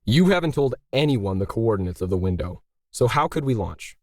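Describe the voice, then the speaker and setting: A man's voice: on the low side, sing-song, normal speed, no echo. Man playing an irritable, scolding male artist, dry sound. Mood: exasperated. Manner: scolding, complaining.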